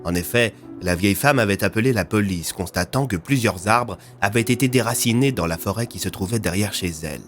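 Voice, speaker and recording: A man's voice: lowish voice, fairly quick, hardly any pauses, faint music behind. A man reading scripted French audio fiction as its narrator.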